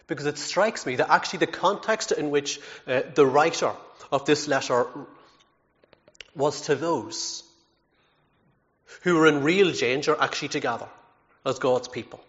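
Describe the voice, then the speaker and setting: A man's voice: on the high side, moderate pace, long pauses, a bit bright, muffled recording. A man preaching a sermon in an earnest, emphatic way, with self-deprecating asides and frequent repeated words.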